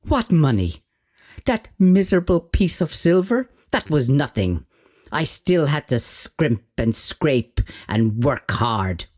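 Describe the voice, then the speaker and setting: A high, frantic, pleading tailor. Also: male voice, very animated, takes it slowly, a little mellow, full character voice. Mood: indignant panic. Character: panicky, pleading, down-on-his-luck male tailor.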